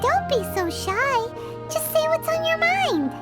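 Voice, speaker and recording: A woman's voice: very high-pitched, very animated, measured pace, loud music behind the voice, heavily acted. A woman voicing a tiny, cheeky, childlike fairy companion in a video game, perky and cheerful. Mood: encouraging, eager. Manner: speaks of self in third person, perky.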